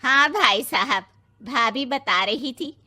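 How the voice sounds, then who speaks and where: A woman's voice: sits high, takes it slowly, background noise. A woman voicing a practical, worrying middle-aged mother in a cartoon story, emphatic and full of exclamation. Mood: neighbourly.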